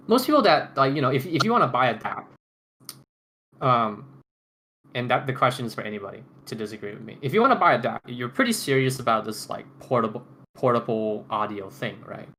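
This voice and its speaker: Casting: male roundtable host, bright mid-pitched voice, conversational and halting, slightly noisy recording. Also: expressive. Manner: halting, repeats and restarts phrases. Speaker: podcast host moderating a roundtable. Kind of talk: casual roundtable chat. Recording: noticeable background noise.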